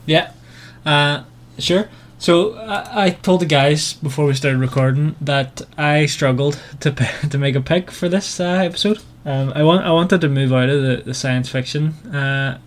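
A man co-hosting a casual book club podcast, speaking in a hesitant, halting way. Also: on the high side. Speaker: podcast co-host.

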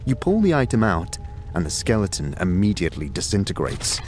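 A man's voice: sits low, very animated, fairly quick, soft background music. A man narrating a scripted fantasy audio drama in a dramatic storytelling manner.

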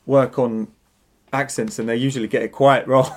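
Casual male talker, rambling and giggly, full of restarts; ordinary mid pitch. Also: level tone, normal speed. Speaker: online synth builder. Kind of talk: casual podcast chat.

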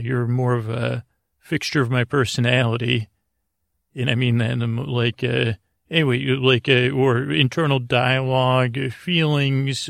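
A man hosting a bedtime podcast in a creaky voice, meandering and muddled, often halting and starting sentences over. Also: medium pitch, fairly bright tone, no room sound, studio quality. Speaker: podcast host. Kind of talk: rambling bedtime podcast monologue.

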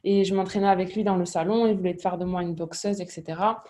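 A woman in her thirties from near Toulouse, speaking with a Toulouse accent as a guest on a video call. She talks in a lively way, with many hesitations and restarts. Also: lowish voice, fast. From southwest France, near Toulouse. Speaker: guest, sports coach. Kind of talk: casual remote interview. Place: home, over a video call.

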